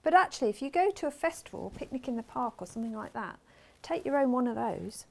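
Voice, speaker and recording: A woman on a crafting show who explains sewing steps calmly and chimes in with brief replies and small exclamations. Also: a little high, fast talker, gravelly. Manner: warm interjections, calm step-by-step instructions. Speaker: co-host. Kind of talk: casual chat while demonstrating sewing.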